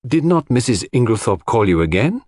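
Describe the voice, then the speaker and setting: Man playing a precise, lively, commanding detective. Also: medium pitch, a little fast, no echo, studio quality, pitched above the performer's own voice. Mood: sharp, probing. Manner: precise, formal, probing. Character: brisk, imperturbable, commanding male detective.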